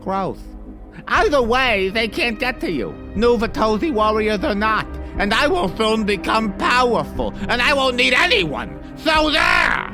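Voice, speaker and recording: A spoiled tyrant king in a sci-fi fantasy audio drama. He gloats and sneers like a child, drawing words out slowly with big leaps in pitch. Music plays clearly behind him. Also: expressive, full character voice. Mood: spiteful, boastful. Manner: bratty, theatrical, mocking. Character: gloating, childish, petulant villain king, taunting his prisoner.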